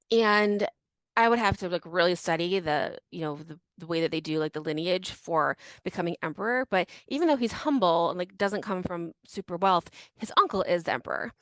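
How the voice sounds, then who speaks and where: Young female podcast co-host, casual storyteller, low-ish voice, dry close mic. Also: dry recording. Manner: chatty, laughs often, many "like" fillers.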